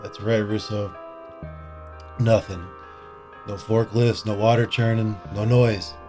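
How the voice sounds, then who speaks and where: Give this a low-pitched male voice acted by a man: a bitter, world-worn police detective in a noir-like crime audio drama. He talks deliberately and broods over each line, with music running underneath. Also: little pitch movement, warm tone. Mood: menacing.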